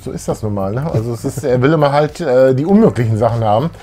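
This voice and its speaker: A man's voice: medium pitch, lively intonation, quick pace, warm tone, soft background music. Middle aged guy co-hosting a fan show, loose chatty talk, ordinary mid voice. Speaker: co-host of a retro fan show. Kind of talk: casual chat, recounting favourite audio dramas. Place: small room full of posters and collectibles.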